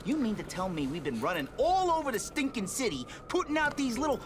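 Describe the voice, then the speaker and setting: An actor playing a hot-headed, sarcastic teenage mutant turtle in a video game, talking in a gruff streetwise manner. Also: male voice, extremely high pitch, very animated, a little fast, background noise. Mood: angry.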